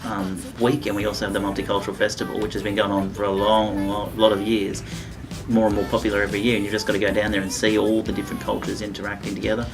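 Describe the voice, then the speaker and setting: Aussie guy answering interview questions, lowish voice, talks fast, music playing under him. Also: monotone, gravelly.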